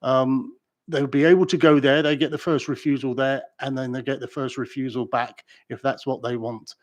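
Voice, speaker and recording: Hesitant British councillor asking questions. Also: male voice, mid-range voice, fairly even intonation, highs cut off. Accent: British English. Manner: halting, many fillers and restarts. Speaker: councillor on a planning committee. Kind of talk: formal meeting questions.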